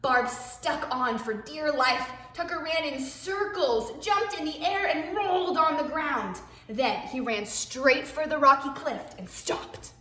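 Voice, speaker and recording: A woman, a preschool teacher, reading a picture book aloud to young children in an expressive way, with hushed asides. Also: high-pitched, unhurried, few pauses, a little hiss or hum. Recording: echoey room.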